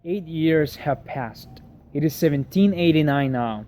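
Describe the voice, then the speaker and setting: A man playing an earnest exiled French aristocrat, telling his story in a formal, deliberate dramatic monologue. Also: fairly high pitch, mellow, lightly acted. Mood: calm, reflective. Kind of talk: scripted dramatic monologue of a classic novel. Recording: faint background noise, amateur recording.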